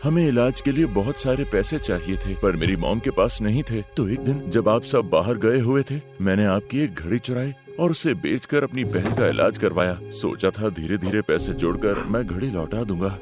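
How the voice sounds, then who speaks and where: A man playing an earnest, remorseful teenage boy in an animated story, speaking in a subdued way over music. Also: on the low side, normal speed, few pauses, lightly acted. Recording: music bed behind the voice.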